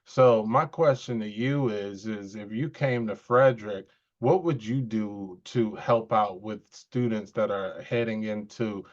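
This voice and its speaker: A man with a fairly low voice puts questions to a candidate in a relaxed, conversational way. The recording is dull and lo-fi. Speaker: interviewer. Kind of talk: casual interview questions. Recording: dull lo-fi sound.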